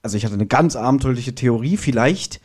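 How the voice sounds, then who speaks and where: A man's voice: mid-range voice, animated, fairly quick, a little mellow. A man in a casual podcast discussion, explaining his observations and thinking aloud. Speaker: podcast reviewer.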